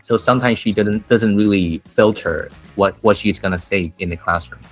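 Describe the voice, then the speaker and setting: A man with a Taiwanese accent who teaches English, chatting casually and telling animated stories with laughs and restarts. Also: fairly low pitch, normal speed, soft background music. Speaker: English teacher, podcast host. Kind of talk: casual chat.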